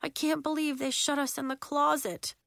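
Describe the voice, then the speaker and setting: Woman voicing a high, dramatic, snappish schoolgirl. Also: level tone, moderate pace, vocal fry, fairly bright tone, dry recording, studio quality. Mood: dismayed.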